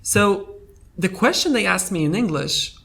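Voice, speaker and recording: A young man from Portugal, telling stories in a casual podcast chat, animated and laughing as he talks. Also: high-pitched, measured pace, gravelly. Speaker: podcast guest, scientist. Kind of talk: casual conversation.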